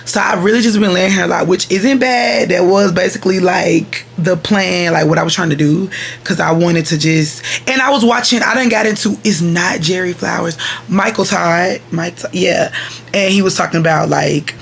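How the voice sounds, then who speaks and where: A woman's voice: lowish voice, normal speed, faint music behind. A woman, chatting at home at her vanity in a rambling, chatty way, speaking straight to her viewers. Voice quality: raspy. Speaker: vlogger. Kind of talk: casual chat to viewers.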